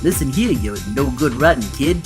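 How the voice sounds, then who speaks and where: A man plays a grumpy old-hand elf in a holiday radio comedy, blunt and gruff. The pitch is middling, with music clearly behind. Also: expressive, moderate pace, natural voice. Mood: scolding. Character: tough, grumpy veteran head elf. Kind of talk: comic audio drama.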